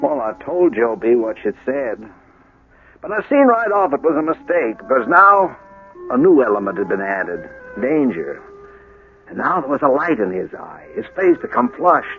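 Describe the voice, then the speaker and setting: An American actor playing a folksy, worried small-town deputy sheriff who recounts a tale in a radio drama. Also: male voice, mid-range voice, sing-song, normal speed, faint music behind. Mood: folksy, wry. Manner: folksy, colloquial storytelling. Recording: lo-fi old radio broadcast.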